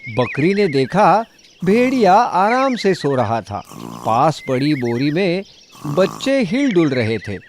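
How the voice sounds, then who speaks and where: A man narrating a scripted children's story in an expressive, dramatic storytelling manner. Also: a little high, slow pace, slight background noise.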